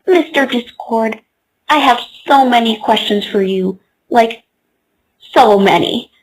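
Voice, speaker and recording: A woman's voice: fairly high pitch, slow, breathy edge, rough recording. A woman voicing an earnest, eager young pony in a comic fan dub.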